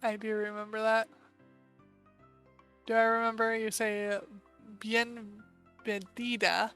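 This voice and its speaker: An American woman streaming live, talking in a loose, chatty way as she thinks aloud and tosses in quick asides. Her voice sits a little high and comes across smooth and clear, with faint music playing softly underneath. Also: flat delivery. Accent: American English. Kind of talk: casual live-stream commentary.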